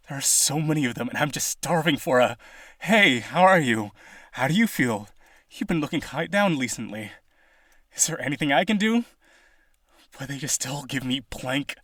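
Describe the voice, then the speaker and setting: Man acting a husky, needy vampire aristocrat. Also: mid-range voice, a bit bright, no room sound, studio quality. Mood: exasperated, yearning. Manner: eager, coaxing, theatrical. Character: needy, possessive male vampire aristocrat, wooing eagerly. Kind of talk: romantic audio roleplay, spoken to listener.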